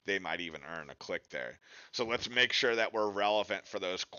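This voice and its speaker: A man's voice: deep voice, lively intonation, normal speed, bright, forceful tone, clean tone, dry recording, muffled recording. A man giving an informal instructional webinar in a direct, conversational way, checking in with short tag questions. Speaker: webinar presenter, digital marketing instructor.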